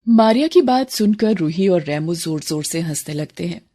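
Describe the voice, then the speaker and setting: A woman's voice: fairly low pitch, animated, moderate pace, dark tone. A woman narrator reading a scripted moral story for children.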